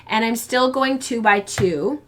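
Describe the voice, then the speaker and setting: Woman, crafting show host, casual live-stream chat, rambling with ums, voice fading and hoarse. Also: fairly high pitch, a little slow. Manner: chatty, rambling, lots of ums and restarts. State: losing her voice. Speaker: podcast host of a fiber arts show.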